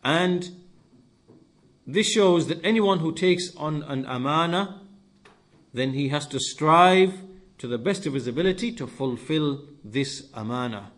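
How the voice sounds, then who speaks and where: A man with a somewhat high voice delivers a religious lesson. He lays out his explanation in short, deliberate phrases. Key words come back again, repeated for emphasis, as a teacher would do. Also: animated, a bit bright. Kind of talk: lesson-style sermon explaining scripture.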